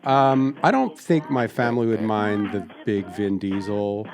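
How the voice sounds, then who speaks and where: A man on an improvised prank call, deadpan and pushy, often talking over the others. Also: on the low side, measured pace, a crowd in the background. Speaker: prank caller.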